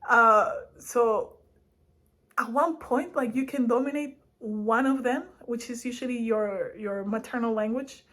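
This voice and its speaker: A woman's voice: fairly high pitch, takes it slowly, fairly bright tone. A young woman from Spain, chatting casually to camera as a vlogger in a playful, laughing way, drifting between languages. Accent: Madrid Spanish.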